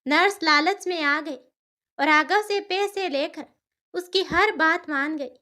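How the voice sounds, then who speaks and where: Very high, bright female storyteller. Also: slow. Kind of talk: scripted cartoon story narration in a Hindi moral-tale format.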